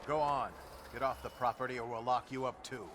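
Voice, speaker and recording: An actor playing an unyielding gate guard in a video game, curt and commanding. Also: male voice, mid-range voice, steady tone, brisk, music in the background, natural voice. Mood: stern. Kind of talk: scripted video game dialogue.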